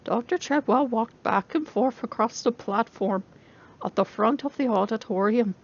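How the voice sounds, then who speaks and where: A woman's voice: fairly high pitch, moderate pace, lo-fi sound. A woman reading a novel aloud as dramatic narration. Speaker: reader of a story.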